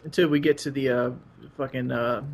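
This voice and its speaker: A man's voice: medium pitch, fairly even intonation, brisk. A man chatting casually and a bit crudely while playing a co-op video game. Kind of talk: casual co-op gameplay chat.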